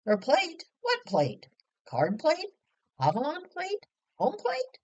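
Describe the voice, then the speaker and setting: A woman's voice: high-pitched, wildly swooping pitch, slow pace, muffled recording, voice pushed up, heavily acted. A woman voicing the teasing, incredulous grown children of a fun-loving family in a storybook, exclamatory and mock-dramatic. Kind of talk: storybook character voices.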